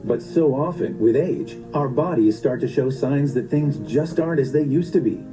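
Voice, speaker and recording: A man narrating a scripted commercial in a smooth, persuasive sales delivery. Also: mid-range voice, moderate pace, poor audio. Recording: voice-over with clear music bed.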